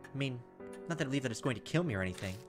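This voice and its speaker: A man's voice: medium pitch, expressive, rapid-fire, lightly acted. A man voicing a curt, defensive, secretive woman in a horror game, with music behind. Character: brusque skeptical woman, secretive, hiding her fear.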